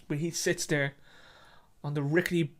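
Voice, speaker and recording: A storyteller reads a short story in a dramatic way. The voice sits at a medium pitch. The delivery is emphatic and repetitive, with an occasional stumble over the words. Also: fairly even intonation, normal speed.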